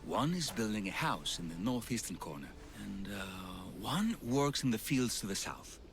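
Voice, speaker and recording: An earnest village healer for a game cutscene. His voice sits fairly low and moves with feeling, carrying kindness and private worry. There is faint ambient noise behind him. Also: sing-song, normal speed, a bit bright. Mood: calm, friendly. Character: kind, earnest male village physician burdened by family worry. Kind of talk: video game cutscene acting.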